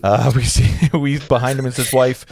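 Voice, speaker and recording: A man's voice: mid-range voice, fairly even intonation, normal speed, gravelly, a little mellow. A man narrating screenplay directions in an informal live read, stumbling and correcting himself with amused asides.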